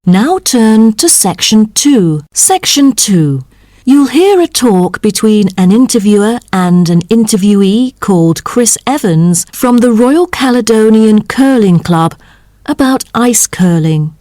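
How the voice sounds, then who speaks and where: A woman reading scripted test instructions as an exam announcer, in a formal, measured manner. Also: fairly high pitch, lively intonation, warm tone, no echo, clean studio sound.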